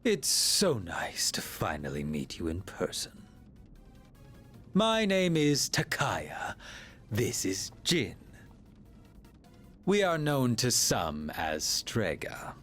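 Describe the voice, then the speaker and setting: An actor voicing an eerily polite, menacing villain in a video game, theatrical and drawn-out. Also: male voice, fairly low pitch, huge pitch swings, fairly bright tone, faint music behind, exaggerated voice. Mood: calm menace.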